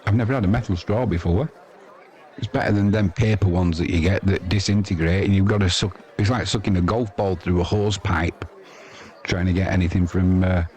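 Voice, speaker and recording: A man's voice: low-pitched, darkish tone. A man doing casual, chatty live cricket commentary and talking to viewers, with dry, informal asides. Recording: crowd noise behind the voice. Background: sports crowd.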